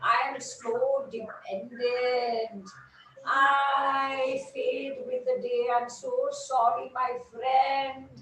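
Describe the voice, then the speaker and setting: A woman voicing a meek bluebell creeper who apologizes softly and slowly in a children's story. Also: high-pitched, animated, slight background noise, full character voice. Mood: apologetic. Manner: soft, apologetic. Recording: echoey room, mic far off.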